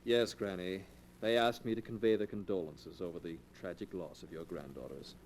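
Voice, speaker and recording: An actor playing a greedy young man who kills his cousins for an inheritance, smooth and falsely polite, in a 1940s radio drama on an old radio recording. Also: deep voice, fairly even intonation, moderate pace, a little mellow, slight background noise, lightly acted. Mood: smooth, sly.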